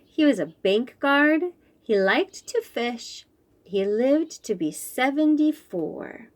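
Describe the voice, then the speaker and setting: A young woman reading a children's picture book aloud, slowly and clearly explaining words. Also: high voice. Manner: slow, clear and expressive, explaining fancy words.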